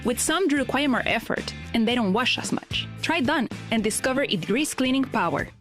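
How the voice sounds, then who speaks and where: Female soap-ad VO, upbeat, higher voice, music under. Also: expressive, normal speed, fairly bright tone. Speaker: ad voice-over. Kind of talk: scripted commercial. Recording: music bed behind.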